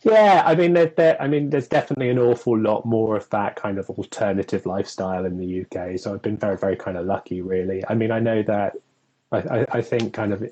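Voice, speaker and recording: Husky young Englishman, chatty and rambling. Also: on the low side, fast, dark tone, highs cut off. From England. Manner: chatty, rambling, laughs often, many "kind of" fillers. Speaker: co-host. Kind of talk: casual chat on an online live stream.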